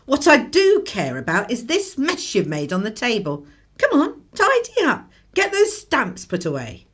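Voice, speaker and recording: A woman's voice: on the high side, wildly swooping pitch, measured pace, fairly bright tone, slightly rough, full character voice. An actress playing a harried, sardonic English mother with a dry, scolding manner in a radio drama. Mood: weary, scolding. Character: harried, sardonic working-class mother of a young boy.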